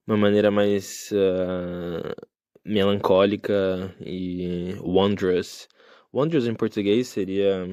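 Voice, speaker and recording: A man's voice: lowish voice, a little mellow, clean tone, no room sound. Young man, solo podcast host, rambling reflective chat, phone mic, dry sound. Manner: rambling, casual and reflective with many fillers.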